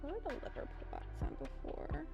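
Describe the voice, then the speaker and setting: A woman's voice: extremely low pitch, huge pitch swings, normal speed, gravelly, over loud music. A young American woman chatting casually with viewers. She speaks in a meandering, thoughtful way and laughs often. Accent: General American. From United States. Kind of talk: casual chat.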